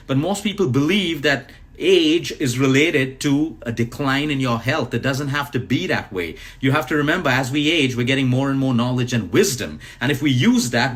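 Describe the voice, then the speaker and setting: A man speaking directly to listeners about looking after their health, urging and emphatic, repeating his key points. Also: mid-range voice. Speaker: wellness speaker. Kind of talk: advice talk to listeners.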